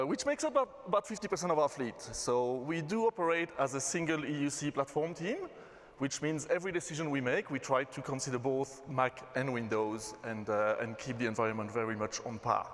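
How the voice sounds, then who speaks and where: A man from Belgium with a Belgian accent, giving a relaxed conference talk as a systems engineer. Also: medium pitch, a little fast. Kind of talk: conference presentation. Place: conference hall. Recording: reverberant hall.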